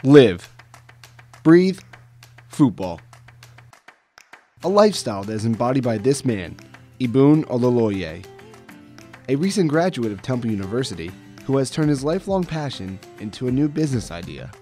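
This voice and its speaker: A man's voice: medium pitch, very animated, mellow, faint music behind. A man narrating a scripted sports news feature as the reporter. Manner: measured voice-over reading.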